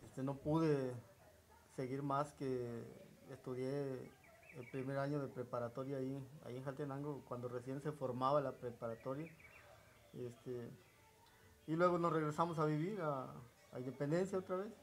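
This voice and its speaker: A man in his forties from Chiapas with a southern Mexican accent, reminiscing in an interview in a halting, unhurried way. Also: medium pitch, steady tone. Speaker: interview guest. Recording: noticeable background ambient noise.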